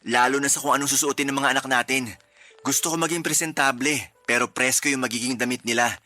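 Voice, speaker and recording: A man's voice: medium pitch, quick pace, close to an everyday voice. A man playing a protective, jealous husband. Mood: tender. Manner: calm, protective, gently persuasive. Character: jealous but caring young husband, protective. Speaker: husband character in a radio drama. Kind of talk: radio drama acting.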